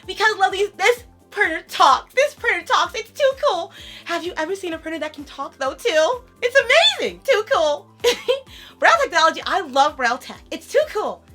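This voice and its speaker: A young woman vlogging an unboxing in a bubbly, giggly way, her voice a little raspy from a sore throat. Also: very high voice, very animated, normal speed, a bit bright, faint music behind. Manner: bubbly, giggly, calls viewers "lovelies". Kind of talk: casual unboxing vlog.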